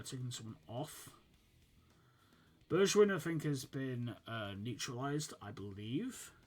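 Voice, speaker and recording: A man's voice: medium pitch, a bit bright. A young man, slightly sniffly from a fading cold, casually commentating his football management game while thinking aloud with many fillers. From United Kingdom. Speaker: gaming channel host.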